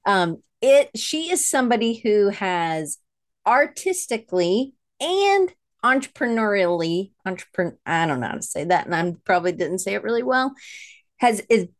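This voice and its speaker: A woman's voice: medium pitch, sing-song, normal speed. A woman hosting a live online show in an informal, enthusiastic way, chatty and often correcting herself as she goes. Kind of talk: enthusiastic, informal guest introduction.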